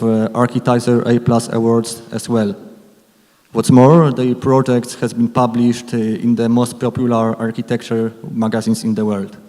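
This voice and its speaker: A man giving a formal, measured introduction of a guest lecturer. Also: medium pitch, mellow. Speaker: event host introducing speaker. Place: large lecture hall. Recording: long hall reverb.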